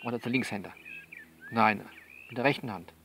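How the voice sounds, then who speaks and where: A man playing a frank, worldly coach who talks a female client through things by phone in a blunt, coaxing, colloquial way with repeats and pauses. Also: on the low side, creaky, a bit bright, a little hiss or hum. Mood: matter-of-fact, advising. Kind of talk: improvised-sounding one-sided phone monologue in an audio novel.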